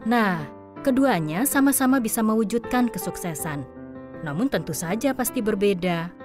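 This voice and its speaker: Woman narrating over prominent music. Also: on the high side. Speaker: narrator of an audio drama. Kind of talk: scripted storytelling narration. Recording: loud music behind the voice.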